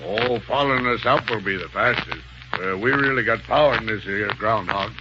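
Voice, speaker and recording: An actor playing a folksy, unschooled inventor with halting, ungrammatical talk, in an old radio serial. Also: male voice, lowish voice, lightly acted. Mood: proud. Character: Barney, folksy unschooled inventor proud of his machine. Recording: muffled, hissy old radio recording.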